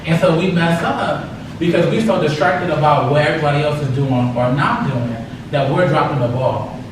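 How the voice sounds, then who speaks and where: A man's voice: on the high side, moderate pace. Man giving a casual talk in a big hall. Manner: casual and direct with slang. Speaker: speaker. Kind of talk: motivational talk. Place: large echoey hall. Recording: echoey hall from far off, audible noise.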